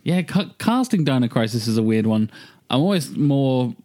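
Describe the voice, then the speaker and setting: A man with a mid-pitched voice hosts a loose panel discussion. His delivery is informal and sweary, and the sound is close and dry, with very little room. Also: big pitch swings, moderate pace, mellow, no echo. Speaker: podcast host moderating a panel. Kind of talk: casual panel chat about films and games.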